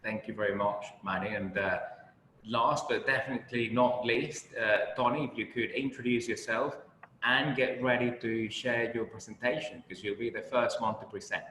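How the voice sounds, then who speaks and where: A man's voice: mid-range voice, fairly even intonation, normal speed, sharp tone. A man moderating a webinar politely and informally, handing over to each speaker. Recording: roomy, noisy web call.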